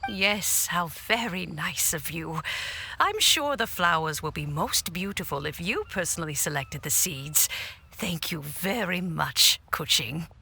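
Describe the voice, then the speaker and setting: An actress voicing a gently teasing, grandmotherly elderly woman in a game cutscene. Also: lowish voice, expressive, creaky, slight background noise, full character voice. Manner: warm, gently teasing, unhurried.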